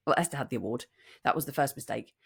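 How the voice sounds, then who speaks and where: A woman's voice: very deep voice, fast, vocal fry, breathy edge. A British woman co-hosting a podcast, telling anecdotes casually with wry, self-deprecating humour. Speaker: podcast co-host.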